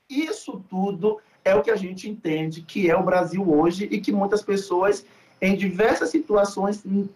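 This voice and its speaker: A man from Salvador, a podcast guest talking in an earnest, reflective way with many fillers. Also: high voice. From Salvador, Bahia, Brazil. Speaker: guest, food researcher. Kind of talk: podcast interview.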